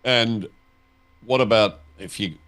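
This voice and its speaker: A man interviewing as a host, putting deliberate, inquisitive questions in a conversational style. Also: on the low side, fairly bright tone, clean tone, low-quality recording.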